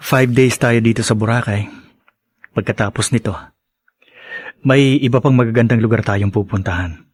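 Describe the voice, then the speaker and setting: Earnest, husky lovelorn young man. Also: medium pitch, dry recording. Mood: gentle, reassuring. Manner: halting, sincere, heartfelt. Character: earnest, lovelorn, self-deprecating young man, gentle and devoted. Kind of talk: melodramatic radio-style drama acting.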